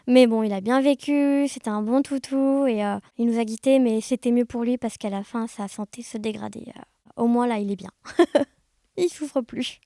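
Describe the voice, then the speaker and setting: A woman chatting casually as an interview guest, telling anecdotes in an animated, conversational way. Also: on the high side, moderate pace, dry recording, clean studio sound. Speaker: podcast guest, blind guide dog user sharing experiences.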